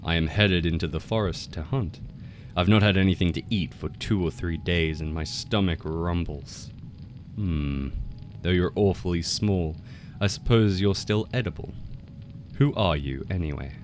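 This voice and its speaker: A hungry old tiger from a folktale, as a male narrator plays him. His voice is very deep and grumbling, earnest and easily fooled, with some noise in the recording. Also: moderate pace, close to an everyday voice. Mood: hungry, dismissive. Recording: noticeable background noise.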